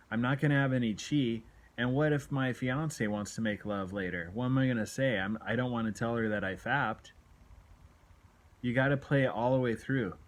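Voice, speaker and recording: A man in his late forties talking candidly to camera in an earnest, exhorting, confessional way, often repeating his points for emphasis. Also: medium pitch. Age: late 40s. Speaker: self-help video blogger. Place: small room at home, indoors. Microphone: phone at arm's length.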